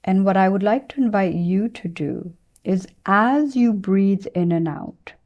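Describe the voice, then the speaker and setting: A woman teacher leading a guided meditation, speaking in a gentle, soothing way with unhurried pauses between phrases. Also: mid-range voice, dull audio.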